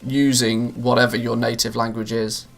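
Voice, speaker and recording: A man's voice: medium pitch, little pitch movement, moderate pace, gravelly, a bit bright, soft background music. A man streaming live and chatting casually with his viewers, rambling with many restarts and fillers.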